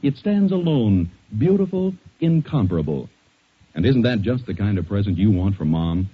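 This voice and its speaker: A man's voice: on the low side, big pitch swings, moderate pace, breathy edge. A man with an American accent announcing in polished, persuasive 1940s radio style, as scripted narration and advertising. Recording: muffled 1940s radio recording.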